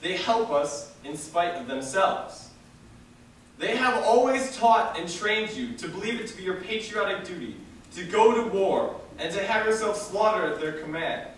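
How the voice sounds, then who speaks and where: A young man reading a political speech as theatrical oratory, declaiming with dramatic pauses and emphasis. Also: high voice, animated, a bit bright. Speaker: reader of a historical speech. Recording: echoey hall from far off, faint noise.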